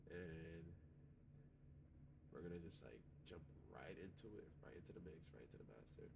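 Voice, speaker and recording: A man's voice: sits low, vocal fry, background noise. Laid-back stuffy-nosed guy rambling. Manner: laid-back, rambling, lots of fillers and swearing. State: stuffy nose. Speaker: music artist and producer. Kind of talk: casual studio walkthrough. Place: home recording studio.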